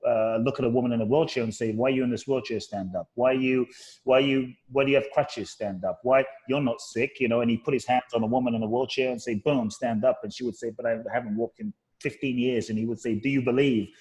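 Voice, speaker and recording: A man's voice: medium pitch, steady tone, fast, darkish tone, rough recording. Guest author and coach, man, earnest storyteller, mid-range voice. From Ghana, raised in London. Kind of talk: talk show interview.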